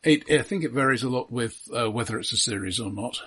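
A man's voice: medium pitch, a little fast, fairly bright tone, husky. A man co-hosting a podcast, discussing films in a casual, conversational way. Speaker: podcast co-host. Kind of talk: casual film review chat.

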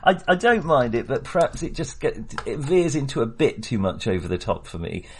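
A man's voice: mid-range voice, very animated, a little fast, a little mellow, a little hiss or hum. A man chatting casually about a film, rambling with many hesitations and frequent chuckles. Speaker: podcast host.

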